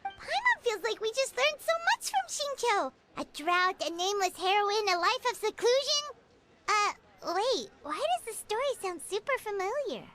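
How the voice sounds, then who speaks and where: A woman voicing a tiny, excitable fairy companion who talks about herself in the third person, in video game dialogue. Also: very high-pitched, background noise, heavily acted. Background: loud game music.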